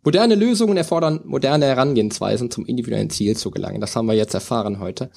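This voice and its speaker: A man's voice: medium pitch, lively intonation, fast talker, gravelly, a little mellow. A male podcast host with a mid-range voice who talks in a chatty, rambling way, often doubling back on his own sentences. He laughs easily and keeps things casual and friendly with his guest. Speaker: podcast host, personal trainer. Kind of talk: casual podcast chat and sign-off.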